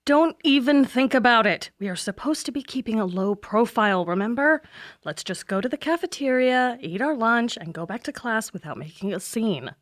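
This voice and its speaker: A woman playing a serious, socially awkward teenage girl hunter in an audio drama, earnest, brisk and bossy. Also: on the high side, animated, no echo, studio quality, lightly acted. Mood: stern.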